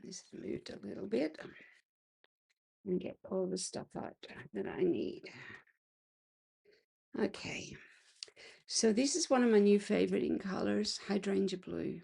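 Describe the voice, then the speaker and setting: Casting: unhurried crafter woman, gravelly and husky, croaky today. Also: medium pitch, warm tone. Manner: chatty, clears throat often. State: slightly croaky voice. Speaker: card-making crafter. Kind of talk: casual craft tutorial.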